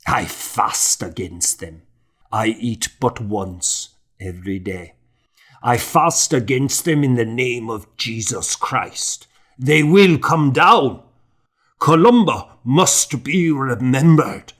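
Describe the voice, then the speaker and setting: A man's voice: mid-range voice, lively intonation, slow, a bit bright, pitched above the performer's own voice. A man voicing a zealous, indignant old monk in an audiobook, fervent and strained as he declaims. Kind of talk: audiobook character acting.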